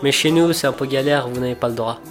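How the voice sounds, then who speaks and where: A man in his twenties from Afghanistan, a cook, telling his life story in a reflective, unhurried way, pausing and restarting as he searches for words. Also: medium pitch, music in the background. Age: 20s. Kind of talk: personal storytelling for a documentary portrait.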